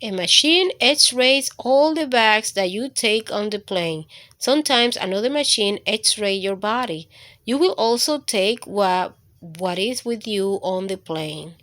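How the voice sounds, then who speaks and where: A woman hosting storytime for children, reading aloud with deliberate phrasing and speaking straight to her young listeners. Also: mid-range voice, animated, a bit bright.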